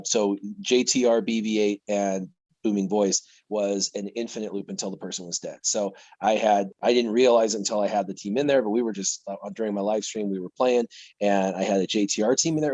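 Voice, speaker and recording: A man who streams the game, talking casually on a live panel and telling a rambling, animated story. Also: fairly low pitch, dry recording, rough recording.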